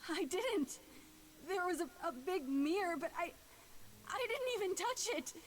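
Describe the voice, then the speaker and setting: A woman's voice: extremely high pitch, expressive, a little slow, a little hiss or hum. An actress playing a distraught, grieving young woman in a dramatic video game, with an emotional, pleading manner. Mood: shaken.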